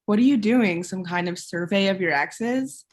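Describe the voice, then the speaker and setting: A woman's voice: medium pitch, moderate pace, natural voice. A woman playing a suspicious, blunt ex-girlfriend in her thirties in a table read, questioning in a conversational way. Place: online live stream table read.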